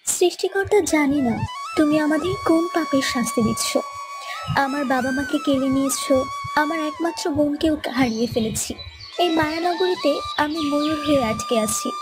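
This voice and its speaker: A woman's voice: sits high, huge pitch swings, moderate pace, over music. A woman voicing a grieving, plaintive young brother trapped as a peacock in a children's fairy tale cartoon.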